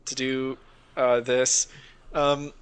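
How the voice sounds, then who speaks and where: A man's voice: mid-range voice, little pitch movement, very slow, smooth. A man co-hosting a podcast in a casual, rambling, sweary chat, reacting to videos. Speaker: podcast host.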